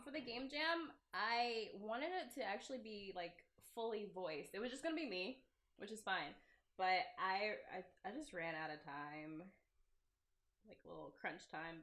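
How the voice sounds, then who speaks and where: A woman's voice: mid-range voice, normal speed, a bit bright. A young woman giving casual, rambling and self-deprecating commentary as she plays through a game, with a giggly manner. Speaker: game developer hosting a playthrough. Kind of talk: casual let's play commentary. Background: game music.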